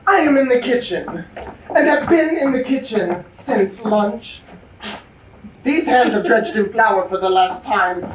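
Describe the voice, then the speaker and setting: A man's voice: high voice, lively intonation, unhurried. A man playing a middle-aged drag diva, wounded and grandiose, declaiming theatrically in stage musical comedy. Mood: indignant, aggrieved. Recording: distant echoey dull stage recording, noisy.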